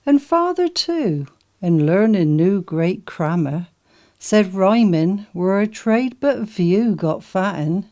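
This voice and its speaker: A woman with a Dorset accent recites dialect verse. Her voice is mellow and mid-pitched, and she takes the lines slowly. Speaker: poetry reader, literary society member.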